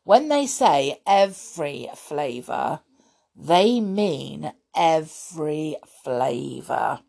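A woman's voice: on the low side, animated, a little slow, darkish tone. A woman voicing a blunt, chatty young wizard boy.

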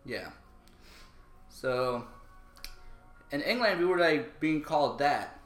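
A man's voice: a little high, lively intonation, slow, a bit bright, faint music behind. A young man from Pakistan in a casual podcast chat, talking frankly and swearing freely. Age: young adult. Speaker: podcast co-host. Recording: echoey room with faint ambience.

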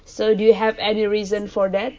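A woman's voice: medium pitch, flat delivery, a little fast, mellow, muffled recording. Indonesian woman host, friendly questions. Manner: friendly, laughs often, short back-channel replies. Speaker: podcast host. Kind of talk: casual interview chat.

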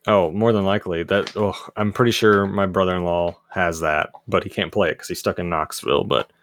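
A man with a lowish voice co-hosting a gaming podcast, reading headlines in a measured, explanatory way. The recording is dry and close, with no room sound. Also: steady tone, fairly bright tone, no echo. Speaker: podcast co-host reading news. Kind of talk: casual gaming news chat.

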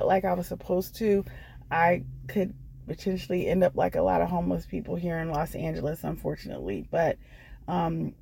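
American woman with creaky, storytelling voice. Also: lowish voice, warm tone. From Washington D.C. area, United States. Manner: earnest, encouraging. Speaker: guest storyteller, comedian and actress. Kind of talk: unscripted personal storytelling.

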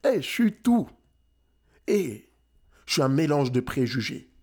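A man's voice: a little high, big pitch swings, a little slow, creaky, dark tone. A young man from France giving a rousing motivational talk, emphatic and dropping English phrases into his French. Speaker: motivational speaker.

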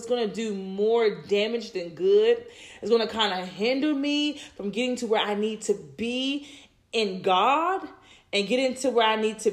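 A woman in her thirties talking to listeners about faith and relationships, rambling and repeating herself with many fillers. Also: on the high side. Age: 30s. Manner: rambling, repeats words, many "you know"s. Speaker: podcast host. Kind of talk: faith-based personal talk.

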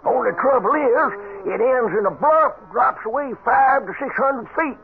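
High-voiced folksy western trail scout. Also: male voice, moderate pace, vocal fry. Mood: folksy, easygoing. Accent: American Western drawl. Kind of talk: old-time radio western acting. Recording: noisy, tinny old radio recording.

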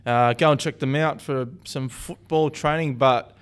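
Smooth-voiced man hosting a podcast. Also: medium pitch, measured pace. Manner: relaxed, rambling, self-correcting. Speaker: podcast host. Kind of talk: casual sports podcast chat.

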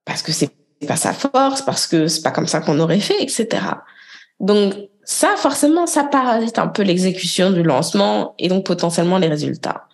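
A woman business coach leading a live group coaching session, talking directly and encouragingly with quick asides. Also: medium pitch, big pitch swings, vocal fry.

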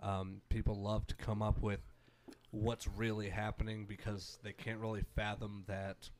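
A man's voice: fairly low pitch, level tone, moderate pace, darkish tone, a little hiss or hum. A man in his late twenties on a podcast, rambling and restarting his thoughts in a loose, casual way. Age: late 20s. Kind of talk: casual chat.

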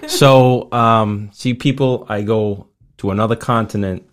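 A man's voice: fairly low pitch, unhurried. A man hosting a casual talk show interview, joking playfully with his guest and laughing often. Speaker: host.

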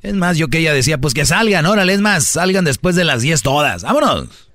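A man's voice: on the high side, very animated, fairly quick, a bit bright, dry recording. A man with a Mexican accent hosting a call-in radio talk show in a blunt, challenging, conversational way, full of rhetorical questions. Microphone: close studio microphone.

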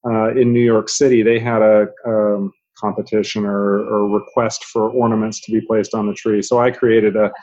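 A middle-aged man from Japan, a physician, telling his story in a measured way with many "uh" pauses. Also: fairly low pitch, level tone, darkish tone. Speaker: guest, father and physician. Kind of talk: casual interview talk. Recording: slightly dull lo-fi recording.

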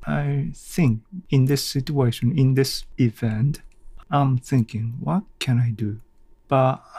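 Solo podcast host: male, non-native English, unhurried and halting, thinking out loud, very dry recording. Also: medium pitch, slightly rough, no echo. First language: not English. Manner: halting, thinking aloud in short phrases. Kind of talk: unscripted solo reflective podcast talk.

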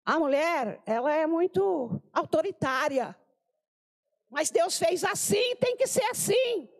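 A woman's voice: extremely high pitch, slow. An older Brazilian woman giving an informal sermon to women, telling anecdotes and acting out the people she quotes. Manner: animated, acts out quoted voices, exclaims. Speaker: preacher at a women's gathering.

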